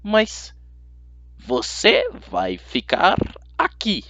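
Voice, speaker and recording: A man voicing a stern, menacing female schoolteacher in an audiobook, with curt, drawn-out, commanding words. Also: on the high side, wildly swooping pitch, bright, forceful tone, dry recording, pitched above the performer's own voice, full character voice. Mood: icy, commanding. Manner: drawn-out, deliberate, commanding delivery.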